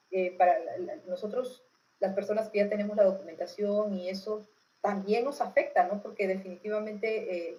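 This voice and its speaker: A woman's voice: mid-range voice, a little mellow, low-quality recording. A woman from Peru with a Peruvian accent, explaining things informally as an interviewed expert, in a didactic way with frequent tag questions. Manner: didactic, often checking understanding with tag questions. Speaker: political expert being interviewed.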